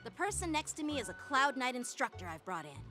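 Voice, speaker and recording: A woman's voice: sits high, very animated, quick pace, pushed and bright, heavily acted. A woman voicing an earnest, proud teenage boy sword master in an anime game, formal and self-assured, with music behind. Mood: earnest, confident. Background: game background music.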